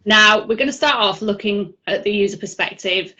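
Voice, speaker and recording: A woman's voice: medium pitch, normal speed, pushed and bright. A woman with a Yorkshire accent presenting at an online user group, chatty and full of fillers. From Yorkshire, England. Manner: chatty, filler-heavy delivery. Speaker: conference speaker. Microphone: video call. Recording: dull lo-fi video call audio.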